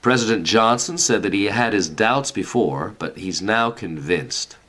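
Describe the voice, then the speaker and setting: Senior government man played by a male actor: older, avuncular and patronizing, lowish voice with big swings, sitcom-style radio comedy. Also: animated, unhurried, fairly bright tone. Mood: confident, smug. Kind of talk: comedic radio play acting.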